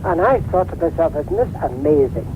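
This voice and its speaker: A high-voiced man with a Donegal Irish accent rings in to a radio programme and tells a story, putting on the voices of the people in it. His voice comes through a tinny phone line with a loud hiss behind it. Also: animated, normal speed. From Ireland. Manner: storytelling, acts out quoted voices. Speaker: radio caller. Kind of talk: phone-in anecdote.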